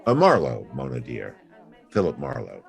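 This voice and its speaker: An actor playing a wisecracking, flirtatious private detective in a hard-boiled audio drama, wry in both narration and dialogue. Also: male voice, extremely low pitch, huge pitch swings, moderate pace, creaky, faint music behind, exaggerated voice. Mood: casual, probing. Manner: wry, drawling asides with flirtatious endearments.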